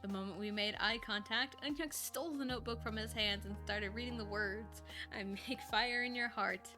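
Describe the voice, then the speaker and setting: A woman's voice: mid-range voice, moderate pace, music in the background. A woman casually reading a first-person story aloud on a live stream, informally, with ad-libbed asides and lightly voiced dialogue.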